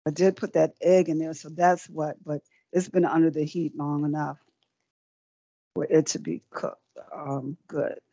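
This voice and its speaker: A woman's voice: low-pitched, flat delivery, measured pace, dark tone, husky, no room sound. A middle-aged woman giving a live cooking demonstration, chatty and encouraging, often repeating words while she works at the stove. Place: small indoor set with folding screens. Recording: dry, dull lo-fi sound.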